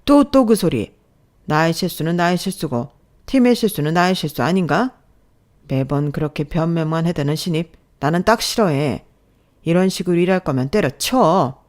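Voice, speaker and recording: A man's voice: on the high side, expressive, normal speed. A woman voicing an abusive male department manager in a story, barking sarcastically and belittling an employee. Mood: scolding, irritated. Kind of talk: dramatized audio story dialogue.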